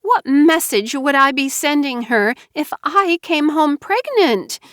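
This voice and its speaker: A high, wheedling young wife who whimpers and sobs her pleas, put on by a woman reading aloud. She draws her words out slowly in a breathy little-girl whine. The recording is close and dry. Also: expressive, fairly bright tone, dry recording, exaggerated voice. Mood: plaintive. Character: manipulative young woman, whiny and tearful.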